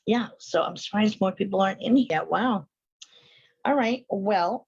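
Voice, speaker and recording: A woman with a fairly low voice hosts a casual livestream. She chats informally to viewers and rambles from one aside to the next. She sounds congested and sniffly, and the audio is somewhat dull and lo-fi. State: sinusy, sniffly from allergy. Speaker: host of a live online show.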